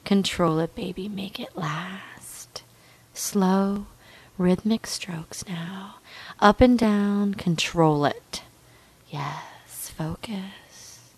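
A woman speaking in an intimate, commanding hypnosis style, coaxing and repetitive, with a breathy voice that often drops to a whisper. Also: mid-range voice, darkish tone. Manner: slow, drawn-out, dominant and coaxing. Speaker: erotic hypnosis performer.

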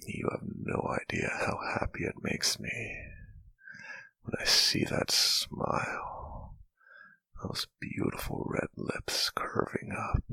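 A man playing an adoring, sensual lover in a whispered intimate roleplay, breathy and murmuring tenderly close to the mic. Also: pushed and bright, no room sound, low-quality recording. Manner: slow, tender murmuring with lingering hums. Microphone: close mic, dry.

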